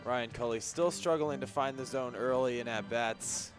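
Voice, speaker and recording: Mid-pitched man, radio color commentary, conversational analysis, faint music in the background. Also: moderate pace. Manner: conversational, explanatory. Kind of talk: live sports analysis.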